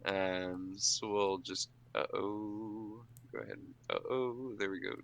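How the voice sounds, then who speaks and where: A man with a fairly low voice plays an improvised tabletop role-playing game. He talks casually, musing aloud, breaking off and starting again as he works out his next move. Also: steady tone, slow pace, vocal fry, fairly bright tone, lo-fi sound. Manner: muses aloud, breaks off and restarts.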